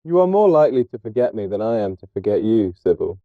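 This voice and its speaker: A man performs a sullen, heavy young lad from a gothic novel. He is blunt and impatient, his pitch jumping around, in a dry and slightly dull recording. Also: sing-song, quick pace, no room sound. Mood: sulky. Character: sullen, heavy, jealous young working-class brother.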